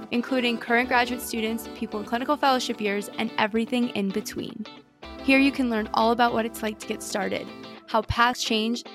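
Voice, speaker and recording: A young woman hosting an interview podcast, introducing the show and talking with her guest. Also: fairly high pitch. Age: young adult. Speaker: podcast host.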